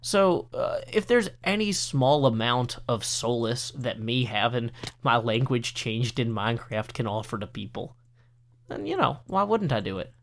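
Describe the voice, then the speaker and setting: A man's voice: medium pitch, big pitch swings, a little slow, fairly bright tone. Earnest, rambling American gamer man. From United States. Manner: earnest, rambling with many fillers and self-corrections. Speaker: let's play host. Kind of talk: casual gameplay commentary.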